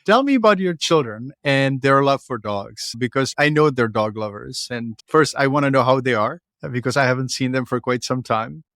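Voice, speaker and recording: A man's voice: mid-range voice, moderate pace, few pauses, a bit bright, dry recording, studio quality. A man from the Czech Republic with a Czech accent, hosting a casual conversational interview podcast. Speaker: podcast host.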